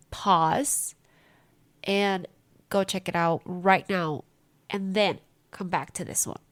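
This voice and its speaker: A young woman with a congested voice, rambling through a casual diary-style podcast from a small room at home. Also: medium pitch. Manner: rambling, chatty, self-interrupting. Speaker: podcast host.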